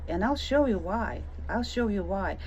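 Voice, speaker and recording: A woman's voice: mid-range voice, animated, normal speed, darkish tone. A middle-aged woman from Ukraine with a Ukrainian accent, speaking emphatically and indignantly straight to the viewer in a rebuttal vlog. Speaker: vlogger answering a comment. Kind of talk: direct-to-camera rebuttal. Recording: dull lo-fi audio with faint noise.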